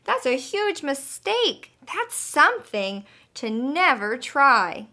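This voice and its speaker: Woman as a bright, helpful storybook butterfly. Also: high voice, big pitch swings, slow pace, pitched above the performer's own voice, full character voice. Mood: alarmed. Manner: instructive, encouraging, emphatic. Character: kindly female butterfly, helpful, patient little teacher. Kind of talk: children's storybook read-aloud.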